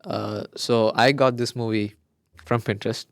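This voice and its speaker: Young male podcast co-host, Malayalam native, gushing and excitable, mid-range pitch, dry close sound. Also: unhurried, vocal fry, no echo. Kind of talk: casual chat.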